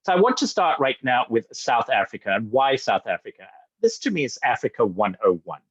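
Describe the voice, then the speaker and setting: A man from Zambia giving an upbeat sales presentation, talking eagerly with frequent fillers and quick restarts. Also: medium pitch, huge pitch swings, breathy edge, no room sound, dull audio. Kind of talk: enthusiastic webinar presentation.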